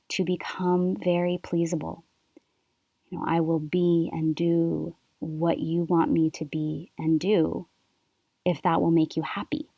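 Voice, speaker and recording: A woman from Canada hosting her own podcast, speaking softly and thoughtfully in a reflective solo monologue with unhurried pauses. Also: low voice, no echo. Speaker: podcast host.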